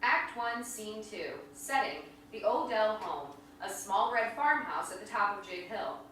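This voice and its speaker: A woman narrates stage directions at a play reading. Her voice is mid-pitched and bright, with a forceful edge. It carries through a heavily reverberant room. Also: animated, a little slow. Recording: very echoey hall, distant mic, faint noise.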